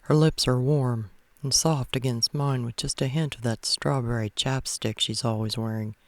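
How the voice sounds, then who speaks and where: A man's voice: mid-range voice, fairly even intonation, normal speed, dry recording. A man narrating a first-person romance story as a read-aloud. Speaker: audiobook-style narrator.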